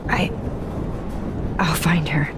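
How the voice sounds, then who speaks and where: An actress playing an earnest, troubled young student in a dramatic video game, with a gentle, hesitant delivery. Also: female voice, sits low, steady tone, slow pace. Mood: apologetic, sad. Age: young adult. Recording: storm noise behind.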